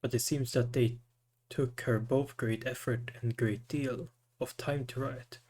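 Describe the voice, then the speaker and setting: A man doing casual live game commentary and reading aloud. His pitch is average and his pace very slow and halting, often stumbling over the words. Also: steady tone, darkish tone. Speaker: game streamer.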